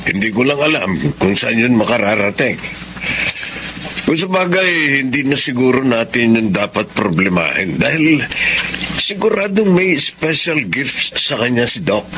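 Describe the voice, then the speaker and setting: An actor playing a gentle, accepting middle-aged father in a Tagalog radio drama. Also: mid-range voice, very animated, unhurried. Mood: calm, resigned. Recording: noisy radio drama broadcast.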